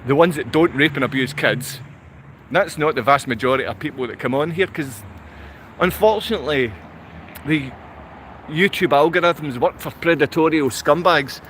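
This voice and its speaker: A man's voice: on the high side, normal speed, a bit bright, slight background noise. A man from Glasgow with a Glaswegian Scottish accent, talking unscripted to livestream viewers in a rambling, repetitive way full of rhetorical questions. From Glasgow, Scotland.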